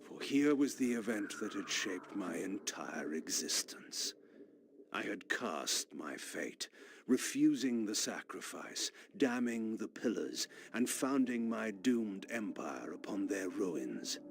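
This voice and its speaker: Grandiose gravelly vampire lord. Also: male voice, fairly low pitch, big pitch swings, slow, full character voice. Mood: grim, resolute. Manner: grandiose, solemn, theatrical. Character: proud, brooding male vampire lord recounting his fate. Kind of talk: dramatic gothic game narration.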